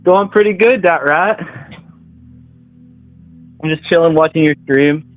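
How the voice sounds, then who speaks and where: A man calling into a livestream, relaxed and easygoing, and a bit giggly. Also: fairly high pitch, a little slow, no room sound, soft background music. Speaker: caller. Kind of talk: casual call-in chat. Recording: dull, dry call audio.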